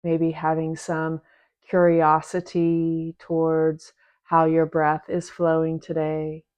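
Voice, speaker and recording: Slow, low-pitched woman guiding meditation. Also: completely flat delivery, dull audio. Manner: gentle, unhurried, with long pauses between short phrases. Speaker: meditation teacher. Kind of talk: guided meditation, soft instruction.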